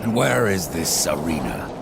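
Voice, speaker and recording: An actor playing a grim, sardonic horseman of the apocalypse in a video game, gravelly and dryly menacing, over music. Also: sits low, very animated, measured pace, very noisy, heavily acted. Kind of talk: dramatic video game voice acting. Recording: loud music under the voice.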